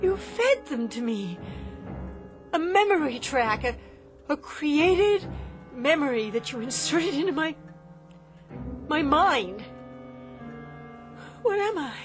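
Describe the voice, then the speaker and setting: An actress playing an emotional young android daughter in a radio drama, pleading and dramatic. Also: female voice, high voice, very animated, takes it slowly, pauses often, soft background music, exaggerated voice. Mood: desperate pleading. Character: anguished young android daughter discovering she is a robot.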